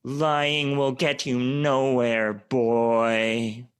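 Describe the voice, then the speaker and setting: A man voicing an eerie young witch girl who makes slow, stern, menacing pronouncements in an amateur radio play. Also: medium pitch, little pitch movement, clean tone, no room sound, studio quality.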